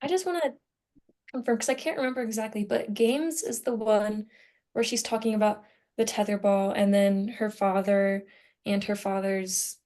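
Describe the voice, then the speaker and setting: A woman's voice: mid-range voice, steady tone, moderate pace, dark tone. A young woman in a casual group discussion, speaking informally and with enthusiasm as a student on a podcast. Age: young adult.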